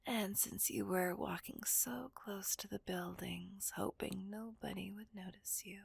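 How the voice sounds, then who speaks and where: Slow, whispering menacing villainess, acted by a woman. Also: mid-range voice, fairly even intonation, no room sound, full character voice. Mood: mocking, teasing. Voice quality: breathy, whispery. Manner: slow, taunting, possessive. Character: dominant, menacing female villain taunting a hostage. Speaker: ASMR roleplay voice actor. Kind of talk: whispered ASMR villain roleplay.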